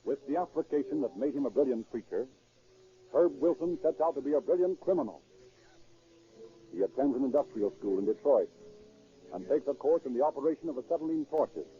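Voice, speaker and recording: A man's voice: mid-range voice, animated, brisk, pauses often, lightly acted. A man narrating a scripted 1930s radio crime drama. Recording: muffled vintage 1930s radio recording.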